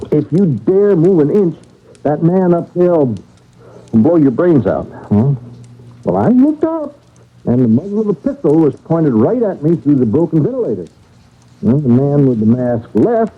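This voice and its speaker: An actor playing a nervous, evasive railway baggage master, hesitant and stammering, in old-time radio drama style. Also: male voice, fairly high pitch, husky. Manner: hesitant, stammering, uneasy, eager to please. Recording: thin, phone-like old radio broadcast.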